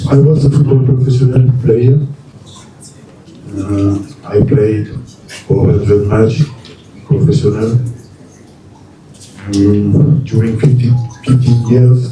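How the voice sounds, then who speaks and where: A middle-aged man with a French accent, a new football coach speaking at a press unveiling in halting English, restarting words and using short plain sentences. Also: mid-range voice, noisy background. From France and Mali. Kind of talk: formal speech at a press unveiling. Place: press conference hall. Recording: echoey room, far from the mic.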